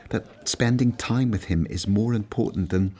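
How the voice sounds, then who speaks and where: An earnest, reflective disciple from a Bible radio drama, acted by a man. His fairly low voice is sober and measured, that of someone who weighs things carefully. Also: mellow, close to an everyday voice. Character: earnest, thoughtful, serious male disciple of Bible times. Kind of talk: radio play acting.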